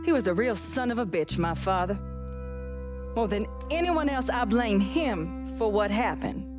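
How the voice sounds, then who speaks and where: An actress playing a bitter, grieving daughter in a dramatic video game monologue. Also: female voice, medium pitch, lively intonation, measured pace, natural voice. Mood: bitter, mournful. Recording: loud guitar music behind.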